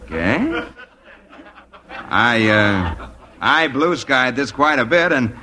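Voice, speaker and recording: A man's voice: mid-range voice, sing-song, takes it slowly, sharp tone, a little hiss or hum, full character voice. An actor playing an eager, fawning yes-man in an advertising office, in 1950s radio comedy style on an old recording.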